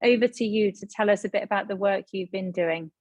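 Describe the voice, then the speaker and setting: A woman's voice: medium pitch, fairly quick, a little mellow, dry recording. Friendly woman moderator introducing guests on an online panel, dry close audio. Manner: friendly, organized, with frequent ums.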